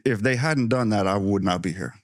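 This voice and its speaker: A man from Ohio, a little nervous as a first-time podcast guest, tells his story in halting starts and restarts. His voice sits on the low side for a man. The sound is close and dry. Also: no room sound. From Ohio, USA. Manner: hesitant and halting, with many restarts. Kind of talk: casual interview, telling his life story.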